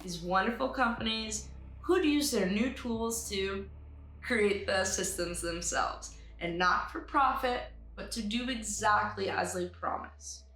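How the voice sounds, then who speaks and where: A woman's voice: mid-range voice, unhurried, a bit bright. Earnest woman presenting, roomy sound with music. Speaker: animator and writer pitching a short film. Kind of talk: scripted pitch presentation to camera, with light asides. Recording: roomy echo with music behind.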